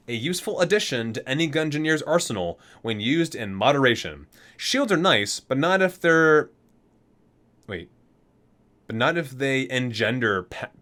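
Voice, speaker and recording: A man's voice: on the high side, a bit bright. A man reading game item descriptions aloud in an ASMR style, breaking off for casual, geeky asides. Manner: geeky, chatty asides between read-out entries.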